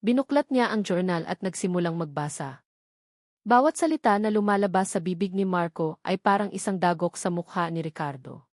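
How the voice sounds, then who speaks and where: A woman's voice: fairly low pitch, animated, fairly quick, no echo, studio quality. A woman reading a scripted dramatic story as its narrator.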